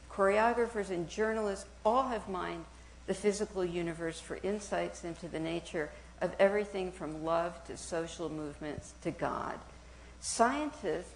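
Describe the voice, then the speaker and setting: A soft-spoken woman giving a university talk, partly reading aloud, animated and searching as she speaks. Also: medium pitch, low-quality recording. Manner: animated and searching, with restarts and small laughs. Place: university auditorium.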